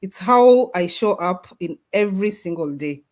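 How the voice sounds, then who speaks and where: A woman speaks over a video call in an earnest, emphatic way, as if testifying. Her voice sits fairly low. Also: lively intonation, normal speed, a little mellow. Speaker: guest speaker. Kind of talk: motivational testimony.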